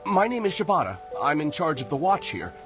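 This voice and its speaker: Game role: polite male guard on watch, animated intonation, formal, music behind. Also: on the high side, a little fast. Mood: polite, helpful. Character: courteous, dutiful male watch officer. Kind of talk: video game dialogue acting. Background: game background music.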